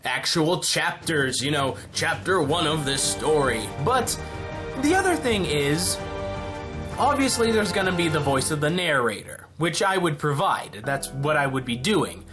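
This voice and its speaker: Excited, rambling host over prominent music. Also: medium pitch, unhurried. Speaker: channel host and narrator. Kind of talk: casual announcement vlog. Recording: music behind the voice.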